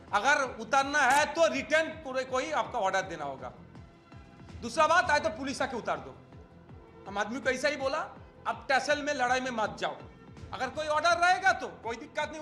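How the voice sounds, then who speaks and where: A man's voice: extremely high pitch, bright, forceful tone. A man with a Bengali accent giving a spontaneous, insistent press statement, repeating himself as he goes. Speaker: community representative giving a reaction.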